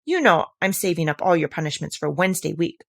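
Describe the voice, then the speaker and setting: A woman voicing a chatty, imaginative little girl in a storybook, animated and mock-stern as she talks to her kitten. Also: fairly low pitch, fast talker, gravelly, no room sound, clean studio sound. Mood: mock-stern scolding. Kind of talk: storybook character voice.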